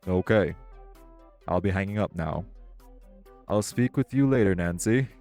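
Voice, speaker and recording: A man playing a composed, self-assured tech company boss in an audio roleplay, speaking in a polished, measured, articulate way. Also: deep voice, darkish tone, faint music behind. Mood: brisk, businesslike. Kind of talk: audio roleplay acting, one-sided dialogue.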